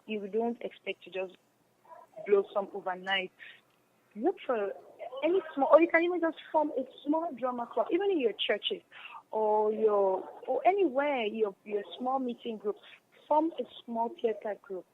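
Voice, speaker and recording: A woman's voice: fairly high pitch, animated, normal speed. Encouraging woman on a dull, noisy call. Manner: encouraging, emphatic, repeats phrases for stress. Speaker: interview guest, stage and radio drama actress. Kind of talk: radio interview, earnest advice to listeners. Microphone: remote call.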